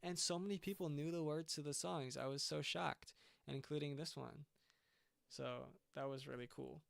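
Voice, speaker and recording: A man's voice: fairly high pitch, steady tone, normal speed, gravelly, no room sound. A young man, a band's singer, chatting casually on a livestream and often stopping to restart his sentences. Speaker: singer and band frontman.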